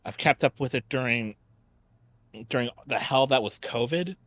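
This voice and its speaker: Halting man hosting a casual panel, close dry sound. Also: medium pitch, a bit bright, dry recording. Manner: halting, repeats and restarts phrases. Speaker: panel host. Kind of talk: casual unscripted fan panel chat.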